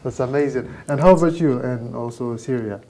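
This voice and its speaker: A man's voice: medium pitch, warm tone, slight background noise. A man hosting a podcast, asking guests questions, repeating their answers back and laughing along. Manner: quick, upbeat, echoes guests' words and laughs. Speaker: podcast host. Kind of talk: casual interview chat.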